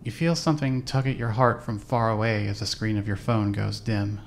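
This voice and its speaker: A man's voice: fairly low pitch, fairly even intonation, normal speed, a little hiss or hum. A man reading scripted second-person story narration for a fan audio drama. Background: clear music.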